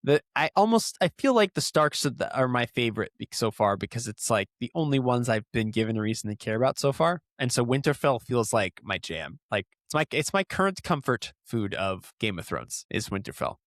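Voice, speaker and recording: A man's voice: medium pitch, sing-song, brisk, hardly any pauses, no room sound. Informal male podcaster, dry recording. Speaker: podcast co-host. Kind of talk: casual book discussion chat.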